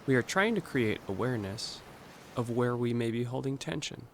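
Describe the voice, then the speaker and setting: A man narrating a scripted guided meditation in a calm, gentle, instructional way, leaving pauses between phrases. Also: mid-range voice, a little hiss or hum.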